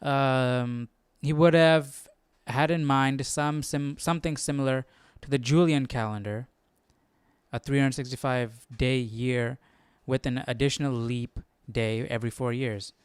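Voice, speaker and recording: A man hosting a Bible study livestream, casually thinking aloud and reading articles out loud in an inquisitive, informal way. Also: medium pitch, fairly even intonation, measured pace, clear, resonant tone, dry recording. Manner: inquisitive, informal, talks to himself.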